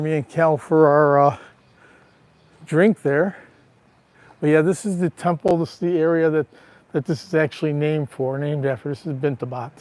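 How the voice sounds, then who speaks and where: A man with a raspy, allergy-roughened voice chatting casually to viewers while walking outdoors, recorded on a handheld phone. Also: on the high side, darkish tone. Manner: chatty, greets viewers by name. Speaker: livestream vlogger. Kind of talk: casual live walking tour. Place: outdoors on a town street.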